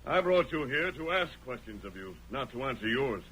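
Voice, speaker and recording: Steadfast masked rider, firm, measured formal diction, ringing bright voice, vintage radio drama, faint noise. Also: male voice, mid-range voice, very animated. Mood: firm, calm. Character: steadfast, commanding masked western hero. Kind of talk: 1940s radio western acting. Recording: noisy old radio recording.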